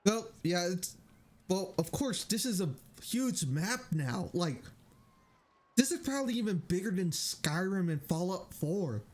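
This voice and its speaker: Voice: mid-range voice, lively intonation, measured pace, darkish tone. A game streamer giving casual, offhand gameplay commentary, often muttering. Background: game music.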